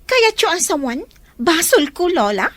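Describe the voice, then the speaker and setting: A woman's voice: very high-pitched, big pitch swings, normal speed, a bit bright, no echo, exaggerated voice. A woman with an Ilocano Filipino accent playing a hurt, embarrassed young girl in an audio drama. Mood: upset.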